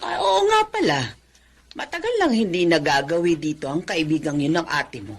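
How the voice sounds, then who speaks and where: A woman acting in a radio drama as a concerned middle-aged mother, husky and inquisitive, often exclaiming. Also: low-pitched, big pitch swings, moderate pace, exaggerated voice. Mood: curious.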